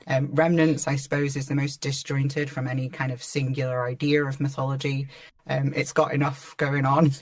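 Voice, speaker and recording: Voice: medium pitch, no room sound. An audio drama creator chatting casually on a panel, explaining their shows in a rambling, discursive way.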